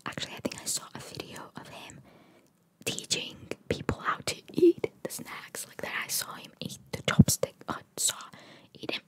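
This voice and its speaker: A woman whispering in an ASMR style, reading trivia aloud with chatty, informal asides in a breathy, gravelly whisper. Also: normal speed, low-quality recording. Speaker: ASMR video host.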